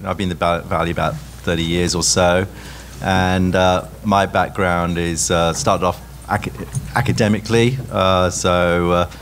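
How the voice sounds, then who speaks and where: A man's voice: low-pitched, steady tone, a little slow, a bit bright, a little hiss or hum. A man in his fifties, a founder speaking hesitantly with many uhs on a conference panel. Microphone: stand mic.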